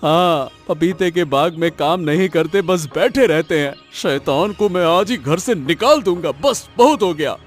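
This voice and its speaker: A man plays an aggrieved cartoon farmer full of self-pity. His voice is high for a man and very unhurried, dragging out each plaintive complaint over background music. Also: natural voice. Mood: aggrieved resolve. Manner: plaintive, drawn-out lamenting. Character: wailing, wronged papaya farmer, aggrieved and self-pitying. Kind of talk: Hindi cartoon story voice acting.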